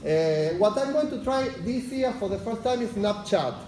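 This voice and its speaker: Fast-talking Argentine lecturer in an echoey hall. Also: male voice, high voice, lively intonation, warm tone. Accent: Argentine Spanish. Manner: fast-talking, frequent "yes?" check-ins, filler "uh". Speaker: university lecturer, unit leader. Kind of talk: lecture introduction. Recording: echoey lecture hall, faint background noise.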